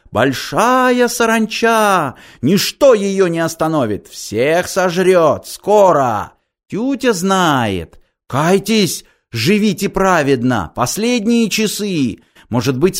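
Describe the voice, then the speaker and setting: A man voicing a ragged male holy fool who wheedles and preaches doom in a high, swooping voice. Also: heavily acted. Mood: shrieking doom. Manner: wheedling, ecstatic, calls himself by name.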